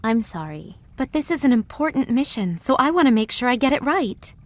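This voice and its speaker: A woman's voice: on the high side, very animated, brisk. A woman voicing a polite, anxious young female rebel in a video game, hesitant and questioning. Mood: earnest, uncertain.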